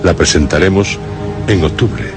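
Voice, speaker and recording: Super low guy hosting radio drama show, slow n solemn, music behind. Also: lively intonation, creaky. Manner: solemn, theatrical, deliberate pauses. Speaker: radio drama programme host. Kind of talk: scripted radio presenting. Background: prominent music.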